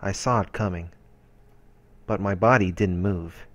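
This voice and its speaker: A man narrating a visual novel aloud in a measured storytelling manner. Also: deep voice, no echo, muffled recording. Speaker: let's-play narrator. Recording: voice-over with music behind. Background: game music.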